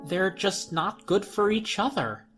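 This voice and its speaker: A woman's voice: medium pitch, animated, measured pace, voice pushed up, natural voice. A woman voicing a frightened, defiant young boy in a horror story, hesitant and whimpering, with music behind. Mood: defensive.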